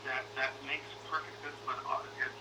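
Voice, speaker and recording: A man of average pitch speaks as a support agent over a clearly noisy phone call. He is accommodating and careful, picking through his explanation haltingly without committing to anything. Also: flat delivery. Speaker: customer support agent for stock brokerage. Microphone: phone line, re-recorded on another device.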